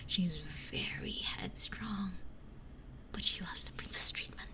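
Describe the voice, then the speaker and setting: Whispering woman doing ASMR chat. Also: lowish voice. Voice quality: whispered, breathy, mostly unvoiced. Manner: hushed, unhurried conversational musing with pauses. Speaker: ASMR streamer, host. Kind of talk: ASMR, chatting with live chat. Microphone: close binaural ASMR microphone.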